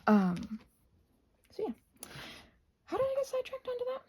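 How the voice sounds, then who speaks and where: A woman's voice: extremely high pitch, wildly swooping pitch, moderate pace, dark tone, a little hiss or hum. A North American woman chatting casually while crafting, playful and scatterbrained, thinking aloud and often trailing off into murmurs. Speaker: craft and ASMR vlogger.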